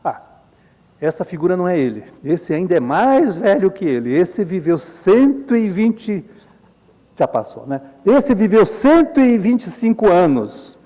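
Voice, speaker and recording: A middle-aged Brazilian man giving a relaxed symposium lecture, explaining slides in a chatty way and often adding tag questions. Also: high voice, unhurried. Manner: conversational, frequent tag questions, chuckles often. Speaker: Jungian analyst lecturing at a symposium. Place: lecture hall. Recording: reverberant lecture hall.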